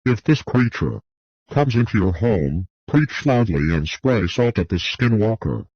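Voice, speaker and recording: A man reads a scripted emergency broadcast announcement in a formal, measured manner, as an alert announcer. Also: fairly low pitch, very animated, slightly rough, no room sound, highs cut off.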